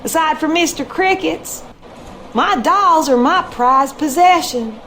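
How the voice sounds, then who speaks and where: A woman playing a genteel, plaintive Southern wife in a video game, with a Southern US accent and a drawn-out delivery. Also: high-pitched, a bit bright, slight background noise. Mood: wistful. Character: wistful, genteel Southern wife who dotes on her dolls. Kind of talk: video game character acting.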